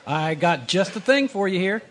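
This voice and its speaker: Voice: mid-range voice, big pitch swings, normal speed, a little hiss or hum, natural voice. A performer doing a folksy, nosy small-town grocer. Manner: folksy rural speech with "ain't". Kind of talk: live recreation of 1940s radio mystery acting.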